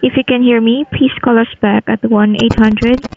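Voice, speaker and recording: A woman's voice: fairly high pitch, steady tone, moderate pace, gravelly, crowd noise. A woman working customer support, speaking in scripted call-centre phrases over the phone. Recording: tinny narrow phone line.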